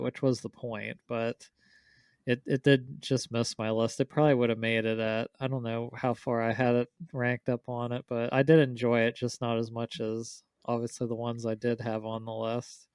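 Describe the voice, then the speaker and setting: A man's voice: mid-range voice, clean tone, no echo. Male podcast panelist, clean resonant voice, informal conversational delivery, dry room. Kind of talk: casual chat.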